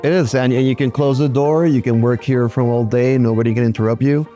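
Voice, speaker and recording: A man's voice: mid-range voice, steady tone, fairly quick, mellow, soft background music. Man touring a luxury home. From Colombia. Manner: showy, points out features. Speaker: real estate agent. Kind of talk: property tour guide. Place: indoors in a luxury apartment.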